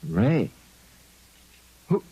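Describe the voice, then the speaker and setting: An actor playing a drugged private detective, halting and pleading in old-time radio drama style. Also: male voice, mellow, highs cut off. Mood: groggy bewilderment. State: groggy, distressed. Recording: noisy vintage radio recording.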